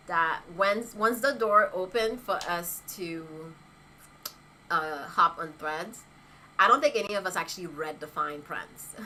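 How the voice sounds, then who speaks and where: A young woman chats on a livestream with a bright, forward voice, laughing often between rhetorical questions to her viewers. Her pitch sits in the middle for a woman, with a faint hiss of background noise under the talk. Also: rough recording. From Haitian heritage. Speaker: lifestyle influencer livestreaming. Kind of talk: casual livestream chat reading comments.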